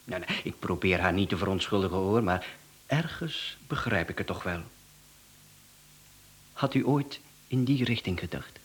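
A man's voice: deep voice, normal speed, pauses often, natural voice. An actor playing a polite, teasing, insinuating hired killer who talks like a businessman in a radio play.

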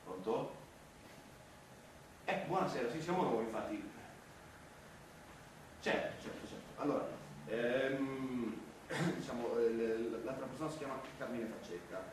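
A man playing a fussy, exasperated, long-suffering cabaret actor in comic theatre, with a pedantic, halting delivery that repeats words. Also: mid-range voice, lightly acted. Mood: flustered, polite. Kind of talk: Italian comic theatre dialogue. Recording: roomy, distant stage recording with noise.

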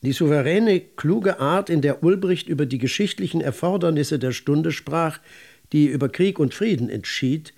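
An actor playing a literary chronicler, narrating a radio drama with measured, articulate and deliberate phrasing. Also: male voice, on the high side, vocal fry, darkish tone. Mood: wry, measured.

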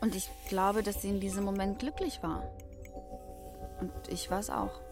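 Actress as young woman in a radio play, earnest, bit hesitant, searching, medium pitch. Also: fairly even intonation, normal speed, music in the background, close to an everyday voice. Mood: warm, reflective. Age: young adult. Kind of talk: naturalistic radio play acting.

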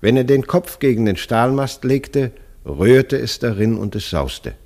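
A man's voice: mid-range voice, expressive, a little slow, warm tone. A man narrating a literary radio play as a scripted story.

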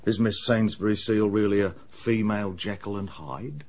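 An actor playing a plain-spoken middle-aged English police inspector in a radio drama, reasoning aloud in a matter-of-fact way. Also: male voice, fairly low pitch, steady tone, moderate pace, a little mellow. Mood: puzzled, speculative.

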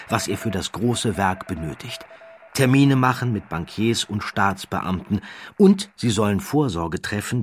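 A man's voice: low-pitched, a little hiss or hum. A man narrating an audiobook, telling the story dramatically with rising and falling emphasis.

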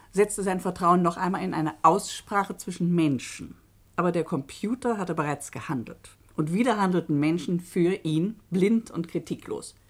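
A woman narrator in a German radio play, with a slightly low voice. She presents her case in an emphatic, didactic way with a touch of irony. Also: pushed and bright. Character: woman presenter explaining a bureaucratic case, pointed and ironic. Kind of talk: German radio drama narration addressed to listeners.

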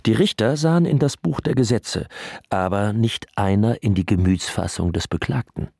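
Husky, deep-voiced male radio-drama narrator. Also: a little mellow, dry recording, studio quality. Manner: measured, formal, grave. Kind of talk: scripted literary narration, dramatic.

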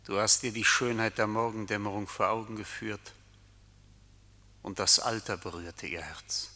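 An actor playing a menacing, cruelly mocking night rider, declaiming tauntingly in a reverberant radio play. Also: male voice, fairly low pitch, pushed and bright. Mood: cold, cruel. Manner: declamatory, taunting, deliberate phrasing. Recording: long hall reverb.

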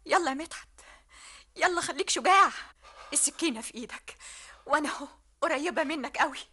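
An actress with an Egyptian accent playing a scheming young female spy, theatrical and easily panicked. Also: a little fast. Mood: coaxing. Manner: theatrical, swings from coaxing hush to shrill panic. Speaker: radio drama actress. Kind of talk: 1960s Egyptian radio drama acting.

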